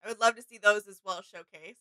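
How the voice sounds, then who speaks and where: A woman's voice: mid-range voice, steady tone, quick pace, sharp tone. A young woman chatting casually as a reaction video co-host in an agreeable, appreciative way. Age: young adult. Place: small indoor room with gaming setup.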